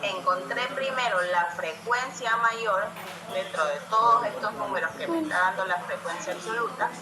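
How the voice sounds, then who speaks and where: A woman's voice: mid-range voice, normal speed, background noise. A woman teaching statistics, explaining step by step and checking often that her students follow. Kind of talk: classroom lesson. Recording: echoey room with loud music behind.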